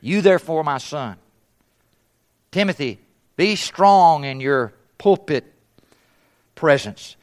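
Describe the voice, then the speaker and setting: A man from East Africa preaching a conference sermon as a missionary, emphatic, asking rhetorical questions and letting phrase ends fall to a near hush. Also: fairly high pitch, animated, takes it slowly, pauses often.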